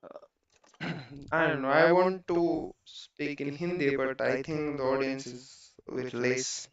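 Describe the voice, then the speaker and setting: Indian male trading streamer, non-native English, flat gravelly voice, casual, dull roomy mic. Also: mid-range voice. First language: not English. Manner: halting, many fillers and restarts. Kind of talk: casual talk while studying charts. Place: home.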